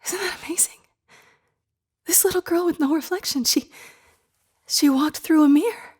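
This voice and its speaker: A woman's voice: extremely high pitch, normal speed, a little mellow. A woman playing an earnest, strong-willed therapist in an audio drama, speaking articulately and expressively. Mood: excited.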